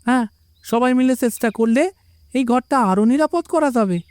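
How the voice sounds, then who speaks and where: Woman voicing an eager, mellow, high-pitched young villager. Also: brisk, dry recording, close to an everyday voice. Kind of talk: animated moral story dialogue.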